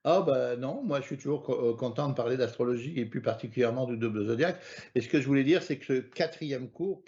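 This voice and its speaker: A man's voice: mid-range voice, darkish tone, dull audio. A man from France teaching astrology in a live online lesson, hesitant and often repeating words.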